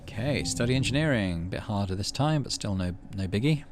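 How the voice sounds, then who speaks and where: A man's voice: on the low side, expressive, brisk. A man hosting a game playthrough, thinking aloud casually and narrating story text. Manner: thinks aloud, hesitant fillers. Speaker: let's play host.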